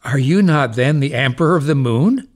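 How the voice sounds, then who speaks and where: A man's voice: mid-range voice, animated, normal speed, natural voice. A man playing a gullible, mad old doctor with grandiose, melodramatic delivery in an audio farce. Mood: bewildered. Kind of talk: stage farce audio play.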